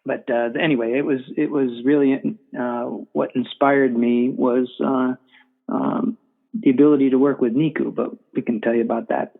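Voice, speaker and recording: A man's voice: medium pitch, fairly even intonation, gravelly. Middle-aged guy, ex prof, stumbles and repeats, tinny phone sound. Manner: halting, repeats words. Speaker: guest author, former professor. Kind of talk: casual interview chat.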